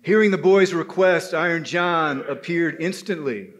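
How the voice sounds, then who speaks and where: Male oral storyteller, unhurried and emphatic, slightly high voice, addressing his listeners directly. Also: fairly bright tone. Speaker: storyteller at a men's gathering. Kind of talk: live oral folktale telling.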